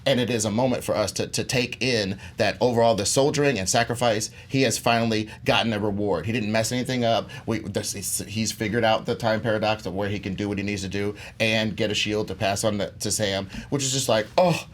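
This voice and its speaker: A man's voice: fairly low pitch, steady tone, fairly quick, hardly any pauses. A man arguing casually as a debate show contestant in a loose, jokey, colloquial way. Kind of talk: casual pop-culture debate.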